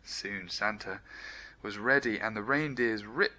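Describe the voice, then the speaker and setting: A man reading a children's story aloud in an expressive, playful, emphatic way. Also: on the low side, measured pace.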